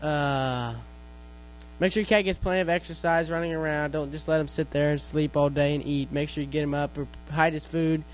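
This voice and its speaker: A man's voice: fairly high pitch, steady tone, a little fast, soft background music, close to an everyday voice, poor audio. A man playing a bumbling male veterinarian who rambles with lots of fillers and hesitations. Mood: rambling.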